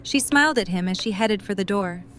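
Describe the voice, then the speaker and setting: A woman's voice: mid-range voice, expressive, brisk, fairly bright tone. A woman narrating a fan audio drama, reading scripted story prose.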